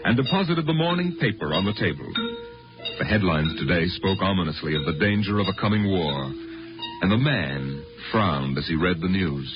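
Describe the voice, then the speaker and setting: Old time radio narrator guy, dramatic storyteller, lowish voice, muffled broadcast sound. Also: expressive, normal speed, over music.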